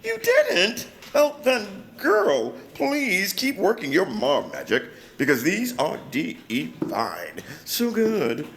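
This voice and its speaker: A man's voice: sits high, huge pitch swings, takes it slowly, hardly any pauses, fairly bright tone, full character voice. Man playing a gushing, wildly swooping circus charmer. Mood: gushing, wheedling. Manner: theatrical, effusive, sugary sweet-talking. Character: flamboyant, gushing, fast-scheming salesperson in a sci-fi circus. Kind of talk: audio drama acting.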